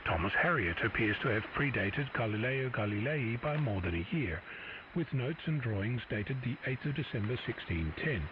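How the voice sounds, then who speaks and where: A man giving a scripted educational radio talk in a measured, explanatory way. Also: medium pitch. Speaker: presenter of a radio segment. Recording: muffled shortwave broadcast with static.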